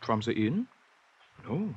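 An actor playing a genial, worldly innkeeper with a German accent, courteous and knowing, in 1950s radio style. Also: male voice, mid-range voice, sing-song, takes it slowly, slightly rough, heavily acted. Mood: obliging. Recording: dull 1950s radio recording.

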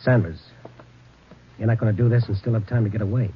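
An actor playing a steady, persuasive American newspaper reporter in a 1950s radio drama, reasoning in clipped, urgent lines. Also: male voice, fairly low pitch, fast, lightly acted. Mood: tense, pleading. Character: steady, persuasive male newspaper reporter, night-prowling narrator. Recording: muffled, noisy 1950s radio recording.

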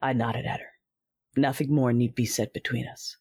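A woman narrating and voicing a cold, proud female Sith warrior and mother in an audio drama, in a deliberate, measured way. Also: rumbling low, fairly even intonation, warm tone, breathy edge, no echo, clean studio sound, full character voice.

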